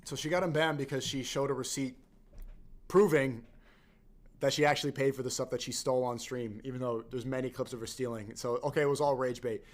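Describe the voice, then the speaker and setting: A man's voice: medium pitch, fairly even intonation, a little fast. A man with a mid-range voice reacts live to clips. He is blunt and casual and drops "bro" into his sentences. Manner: blunt, slangy, says "bro". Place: Manhattan.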